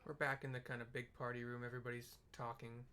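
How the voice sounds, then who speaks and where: Casting: relaxed male gamer narrating his playthrough, offhand, mid-pitched. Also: fast, smooth. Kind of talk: casual commentary over gameplay.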